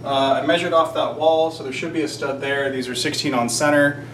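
A man's voice: fairly high pitch, fairly even intonation, brisk, fairly bright tone, low-quality recording. An American man in his thirties explaining casually to camera, with rambling, wry, self-deprecating asides. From United States, Georgia. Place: indoors in a shop workroom under renovation. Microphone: camera microphone at about arm's length. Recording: faint background noise.

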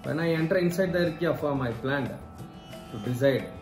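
A man narrating a wildlife vlog in a casual, hesitant way, with frequent filler sounds and halting phrases. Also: on the high side, animated. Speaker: wildlife vlogger. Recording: echoey sound with loud music behind.

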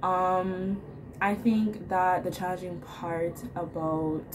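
A young Jamaican woman of eighteen speaks in a low, full voice, bubbly and talkative. She is recorded on a laptop webcam in a small room at home. Clear background noise sits under her speech the whole time. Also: fairly even intonation, slow pace. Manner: bubbly, chatty, with light laughs. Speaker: work and travel applicant. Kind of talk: self-introduction video. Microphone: laptop webcam mic at close range.

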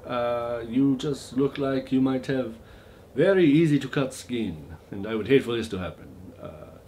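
A man playing a genteel vampire host with a mock Eastern European accent in a comic monologue, halting and overly polite, with awkward deadpan asides. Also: mid-range voice, slight background noise, lightly acted. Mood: nervous, evasive.

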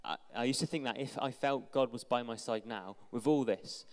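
A young man with a smooth, resonant voice reads a testimony aloud in a steady, earnest way, now and then tripping over a word. He holds a handheld mic, and his words ring out through a big church hall with a long echo behind them. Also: medium pitch, moderate pace. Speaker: church member reading a friend's testimony. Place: large church hall with stage.

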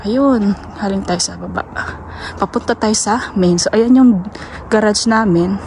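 A woman with a Filipino accent talks in a casual, informal vlog style. Her pitch sits in the middle, and her phrasing halts as she mixes in English words. It is a handheld recording made on the move, with faint noise and clicks in the background. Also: unhurried, a little mellow. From Philippines. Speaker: vlogger, homeowner giving a house tour. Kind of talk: casual vlog chat to viewers. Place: walking through a large house basement and outdoors in snow.

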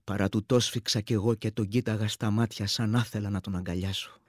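Male actor as wistful soldier back from war, husky, intimate confessional storytelling, dry close sound, radio play. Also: on the low side, steady tone, a little mellow, no echo, lightly acted. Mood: tender, bashful. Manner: intimate, plain-spoken reminiscing.

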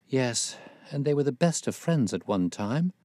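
A man's voice: medium pitch, animated, unhurried, warm tone, dry recording, clean studio sound, voice pushed up, natural voice. A man voicing a weary, talkative middle-aged spinster in a storybook reading, plaintive and gossipy in old-fashioned phrasing. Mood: aggrieved, gossipy.

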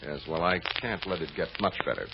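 Brisk, low-voiced shrewd fugitive lawyer. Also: male voice, big pitch swings, vocal fry, full character voice. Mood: calm, guarded. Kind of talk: old radio drama acting. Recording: dull, noisy old radio recording.

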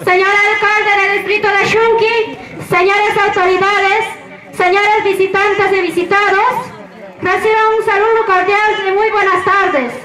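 A woman's voice: extremely high pitch, fairly even intonation, a little slow. A woman playing an Inca princess in a festival question duel, posing questions formally and teasingly with a Peruvian Andean Spanish accent. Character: Inca princess, proud and sharp-tongued. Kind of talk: formal greeting then quiz banter. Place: outdoor town plaza with a large crowd. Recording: echoey with loud crowd.

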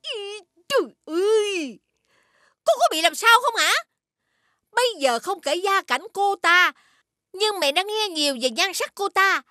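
Shrill, high-pitched strict mother. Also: animated, a little slow, sharp tone, no echo, studio quality, faint music behind, full character voice. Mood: alarmed, disapproving. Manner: scolding, exclamatory. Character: strict, disapproving mother of a rich young man. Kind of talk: animated fairy-tale dubbing.

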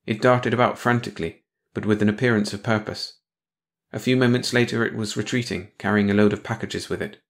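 A man's voice: lowish voice, fairly even intonation, brisk. A man reading a scripted science fiction story as an audiobook narrator, in bedtime read-along style.

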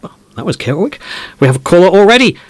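A man's voice: high-pitched, sing-song, fast, no echo. An actor playing a chatty, easily amazed male football podcast host in a scripted audio drama. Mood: upbeat, curious.

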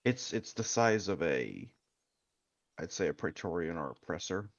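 A man with a low, gravelly voice talks in a relaxed, curious way with his friends. His audio comes through dull and lo-fi, dry, with no room sound. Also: measured pace, no echo. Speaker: friend on voice chat. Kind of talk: casual chat. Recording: dull lo-fi voice chat.

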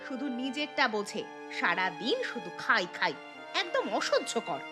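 A woman voicing a sharp-tongued, exasperated daughter-in-law in a cartoon story, scolding and indignant. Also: a little high, very animated, normal speed, over music.

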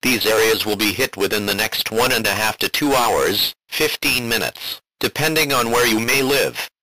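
A man's voice: lowish voice, lively intonation, normal speed, sharp tone, no echo, rough recording. A man reading a scripted emergency alert as a broadcast announcer, formal and impersonal.

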